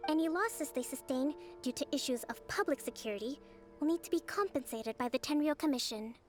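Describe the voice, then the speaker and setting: High-voiced, poised young leader. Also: female voice, lively intonation, brisk. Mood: composed, resolute. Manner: articulate, composed, diplomatic. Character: poised young female island leader, diplomatic and resolute. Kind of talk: scripted video game voice acting. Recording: music behind the voice.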